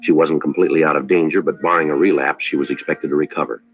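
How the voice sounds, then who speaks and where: An actor playing a terse, businesslike police detective in a 1950s radio drama, deadpan and matter-of-fact, with a husky voice. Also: male voice, very deep voice, quick pace, dull audio. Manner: clipped, deadpan, politely matter-of-fact questioning. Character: terse, businesslike male police detective narrating his case. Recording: vintage 1950s radio broadcast.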